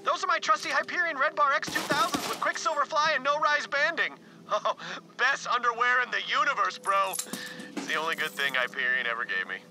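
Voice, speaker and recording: A boastful bro bandit. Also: male voice, extremely high pitch, expressive, fairly quick. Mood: proud. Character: boastful goofy bandit leader man. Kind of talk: video game character dialogue. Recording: game audio under noisy gameplay.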